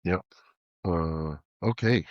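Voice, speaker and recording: A man's voice: low voice, slow, no echo, highs cut off. Stuffy-nosed man joking on a podcast. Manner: rambling, joking, chuckling. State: stuffy with a cold. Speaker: podcast host. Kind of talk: casual podcast chat.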